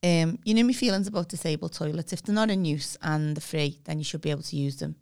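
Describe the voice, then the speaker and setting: A woman, slightly croaky, co-hosting a podcast and talking in a cheeky, outspoken way. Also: deep voice, quick pace, darkish tone, no echo, clean studio sound. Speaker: podcast co-host. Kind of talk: casual comic chat.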